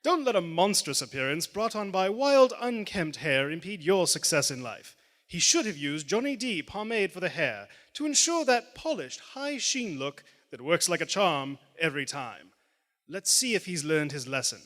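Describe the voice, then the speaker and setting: A man's voice: fairly high pitch, big pitch swings, moderate pace, fairly bright tone. Man playing a theatrical radio announcer, echoing hall. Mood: salesmanlike. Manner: theatrical, grandiose showman delivery. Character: booming, upbeat old-time radio announcer and pitchman, easily startled. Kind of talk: 1940s radio acting, live announcing. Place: large theater stage, live performance. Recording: live theater stage, long hall reverb.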